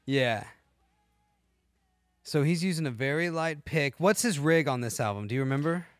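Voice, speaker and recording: A man hosts a laid-back podcast, talking about music with a slightly high, rambling delivery. He often trails off and restarts as he hunts for the right words. The sound is dry and close, with music playing faintly behind him. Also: lively intonation, normal speed, no echo. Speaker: podcast host. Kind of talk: casual chat about music.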